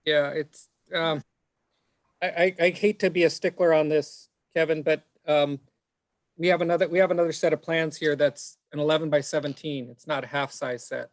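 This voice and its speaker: A man serving as planning commissioner, giving a halting, apologetic comment full of repeated words at a public meeting. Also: fairly high pitch, steady tone, dry recording.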